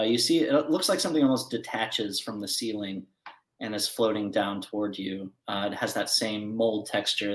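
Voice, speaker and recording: Man, game master, relaxed descriptive narration, roomy echo. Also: lowish voice. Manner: relaxed, descriptive, halting with fillers.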